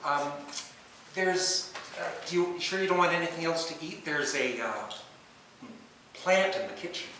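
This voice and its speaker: A man's voice: a little high, expressive, normal speed, sharp tone. A man playing an awkward, nervous older tax attorney, hesitant and polite, in a stage play rehearsal. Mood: nervous, flustered. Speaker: amateur stage actor in rehearsal. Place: echoey indoor rehearsal room. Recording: very echoey room, distant mic, faint noise.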